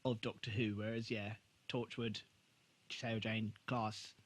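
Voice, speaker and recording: A man's voice: on the low side, little pitch movement, a little slow. A man co-hosting a podcast, chatting casually and informally about television. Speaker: podcast co-host. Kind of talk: casual chat about a TV show.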